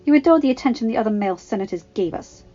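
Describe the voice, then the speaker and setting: A woman's voice: fairly high pitch, expressive, racing, dark tone, highs cut off, faint music behind. A woman voicing a proud young female senator in an audio story, formal, firm and icy. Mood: stern, cold. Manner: stern, formal.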